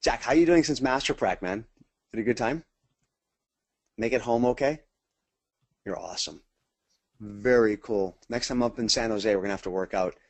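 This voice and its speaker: A man's voice: medium pitch, normal speed, pauses often, no room sound. An American man leading a casual webinar as a sales trainer, chatting informally and speaking straight to his attendees.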